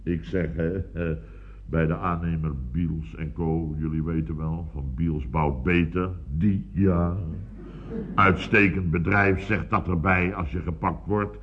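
An actor playing a blustering, boastful building contractor boss in a radio comedy, telling stories in a rambling, exclamatory, repetitive way. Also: male voice, sits low, steady tone, a little slow, background noise. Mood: excited. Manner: rambling, repetitive, exclamatory storytelling with tag questions. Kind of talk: 1970s radio comedy acting. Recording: dull, lo-fi old radio recording.

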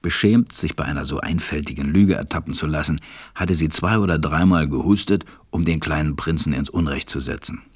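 An actor playing a gentle, reflective storyteller, narrating a storybook radio play. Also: male voice, a bass voice, a little fast, slightly rough, no room sound, clean studio sound. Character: reflective adult male narrator recalling a little friend.

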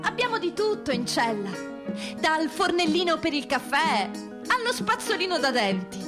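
A woman's voice: extremely high pitch, sing-song, normal speed, music in the background, voice pushed up. A woman playing a wry, wistful prison inmate in a theatrical radio monologue, ironic and dreamy, lingering on her words. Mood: wry, playful.

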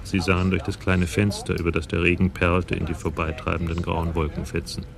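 A man narrating a radio crime drama from a script in a sober, even storytelling manner. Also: low voice, fairly quick, vocal fry, crowd noise.

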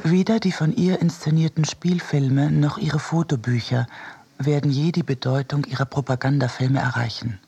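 Male narrator for literary radio piece, mid-pitched, markedly raspy and creaky. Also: darkish tone. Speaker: reader of a literary essay. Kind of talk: scripted narration in a radio production.

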